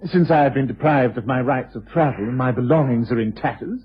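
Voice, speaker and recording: An actor playing a cool, self-assured gentleman crook in a vintage British radio drama, with an upper-class English accent and smoothly condescending phrasing. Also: mid-range voice, a little fast. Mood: indignant, ironic. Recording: muffled old radio broadcast with hiss.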